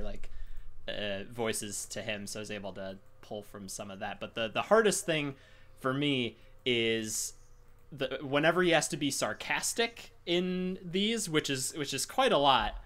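A man of average pitch speaks with a Midwestern accent touched by a little Southern inflection. He talks casually in a group as a voice actor, haltingly, feeling around for the right words. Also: a bit bright. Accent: American Midwest with slight Southern inflection. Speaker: voice actor in a cast Q&A.

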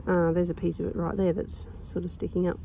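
Croaky woman chatting in a garden. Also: lowish voice, level tone, brisk, a little hiss or hum, rough recording. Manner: rambling, chatty, with many ums and soft laughs. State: croaky with a sore throat. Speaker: gardening vlogger. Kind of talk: casual chat. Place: outdoors in a sunny garden.

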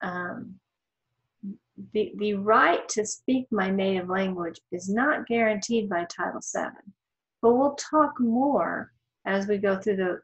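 A woman law instructor narrating a slide lecture in a thoughtful, explanatory way, pausing with ums and restarting her sentences. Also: mid-range voice, rough recording.

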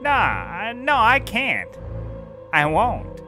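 A man's voice: high-pitched, wildly swooping pitch, extremely slow, over music, exaggerated voice. An actor playing an eccentric, rambling male detective in an audio comedy, erratic in delivery. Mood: evasive, jittery. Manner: erratic, swinging from muttering to outbursts. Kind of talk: scripted comedy audio drama.

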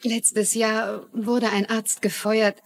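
An actress playing an earnest, caring hospital nurse, matter-of-fact and considerate, in a dubbed TV drama. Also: female voice, fairly high pitch, level tone, slow pace, creaky. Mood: confiding. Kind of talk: dubbed TV mystery drama acting.

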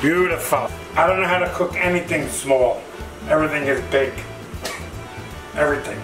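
High-pitched middle-aged man, echoey kitchen. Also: normal speed. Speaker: cooking show host. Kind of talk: casual cooking demonstration. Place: home kitchen. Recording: roomy echoing kitchen, music behind.

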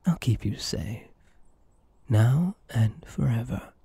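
A man playing a possessive yet tender elf hunter in an intimate ASMR roleplay, speaking in a coaxing, soothing and intense way with a husky voice. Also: mid-range voice, expressive, slow pace, warm tone, dry recording, exaggerated voice. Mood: soothing, protective. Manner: coaxing, soothing, intense, often dropping to a whisper.

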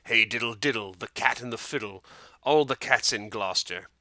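A man's voice: mid-range voice, moderate pace, sharp tone, no echo. A man voicing a sulky, hungry male house cat in a storybook, muttering a sing-song rhyme.

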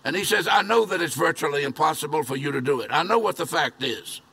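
A man's voice: a little high, expressive, fast, vocal fry, sharp tone, breathy edge. An older man preaching outdoors from a podium to a drive-in congregation, earnest and repeating key phrases for emphasis. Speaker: pastor. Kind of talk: sermon. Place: outdoor church parking lot. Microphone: podium mic from a distance.

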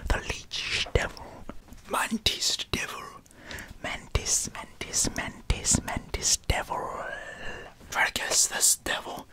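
Breathy whispering man, close on the mic. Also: slow, pushed and bright. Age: 20s. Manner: repeats trigger words over and over, playful. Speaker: ASMR video creator. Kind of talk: ASMR whispering. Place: indoors in front of a green screen. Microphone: large desk microphone at close range.

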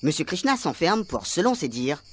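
A man's voice: on the high side, sing-song, normal speed, fairly bright tone. A man giving dramatic, scripted narration for a radio serial. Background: faint music.

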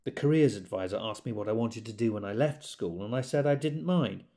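An actor playing a wry British character in an audio drama, telling memories conversationally and quick to exasperation. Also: male voice, on the low side, warm tone, natural voice. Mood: reflective, understated. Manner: conversational, acts out quoted speech. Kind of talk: intimate audio drama monologue.

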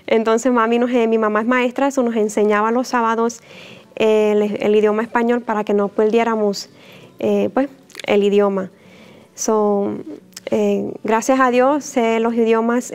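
A woman in her thirties from Puerto Rico, a religious sister giving a gentle, unhurried personal testimony to camera on a lapel mic in a church chapel, with soft fillers and small laughs. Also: on the high side, clear, resonant tone. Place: indoor church chapel, mid-sized.

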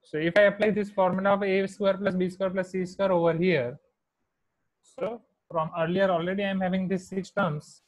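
A man with an Indian accent teaching a maths class online, dictating each term slowly as he writes on a whiteboard. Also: high-pitched, little pitch movement, no echo, poor audio. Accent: Indian English. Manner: slow, deliberate dictation of terms while writing on a whiteboard.

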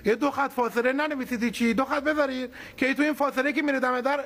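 A man's voice: very high voice, fairly even intonation, a bit bright, slight background noise. A man voicing an earnest, lovesick male puppet in a TV comedy, bossy and pleading as he dictates phrase by phrase. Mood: dictating, earnest.

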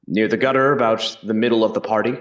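A man's voice: fairly low pitch, fairly even intonation, fast, lo-fi sound, natural voice. A man playing a colonial Boston eyewitness giving plain testimony in a historical courtroom reenactment. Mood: matter-of-fact. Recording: roomy echo, distant microphone.

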